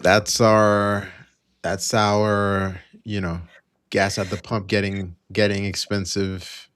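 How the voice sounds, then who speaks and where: A man's voice: on the low side, level tone, slow pace, a bit bright, smooth. A man co-hosting a live trading stream with casual market commentary, thinking aloud in a hesitant way.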